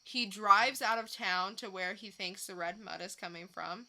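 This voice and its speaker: A woman's voice: medium pitch, fairly even intonation, normal speed, bright, forceful tone, poor audio. A woman co-hosting a podcast, giving a casual, unscripted recap and piecing it together as she goes. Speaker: podcast co-host reviewing comics.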